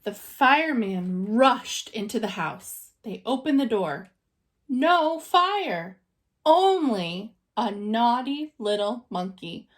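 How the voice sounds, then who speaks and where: A woman narrates a children's storybook in a high voice. She takes her time and moves expressively up and down, dramatizing the tale for young listeners as a teacher would. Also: sing-song, takes it slowly. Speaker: teacher reading aloud.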